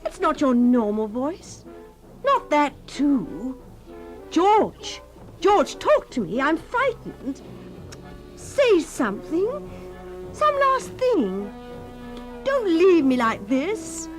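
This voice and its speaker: A woman's voice: sits high, big pitch swings, drawn-out words, long pauses, faint music behind, exaggerated voice, rough recording. An actress playing a clinging, melodramatic wife in her thirties in a radio drama, pleading and sighing theatrically. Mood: frightened, frantic.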